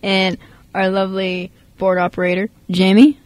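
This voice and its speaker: A woman's voice: mid-range voice, level tone, moderate pace, darkish tone, no room sound. A young woman hosting a student radio show in a casual, chatty way. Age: young adult. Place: radio studio.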